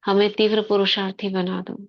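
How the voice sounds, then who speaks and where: A woman guiding a spiritual meditation in slow, soft short phrases with long pauses. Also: mid-range voice, flat delivery, dull audio. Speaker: meditation guide. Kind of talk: guided meditation commentary.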